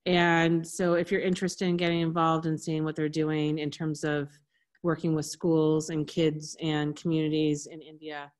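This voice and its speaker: A woman hosting an online conference session, speaking over a video call. Her voice sits a little low, and her talk is chatty and loose, full of quick restarts and apologies. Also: completely flat delivery, a little mellow. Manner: chatty, apologetic, restarts under tech trouble. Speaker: conference host. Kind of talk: casual video-call chat.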